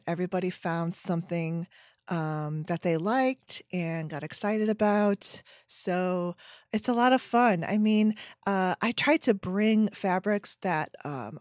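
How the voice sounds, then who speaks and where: A woman in her forties hosting a solo podcast chat at home, her voice scratchy from a sinus infection, with lots of ums and trailing pauses. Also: lowish voice, darkish tone, dry recording, clean studio sound. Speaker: podcast host. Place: home room with bookshelves. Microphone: headset.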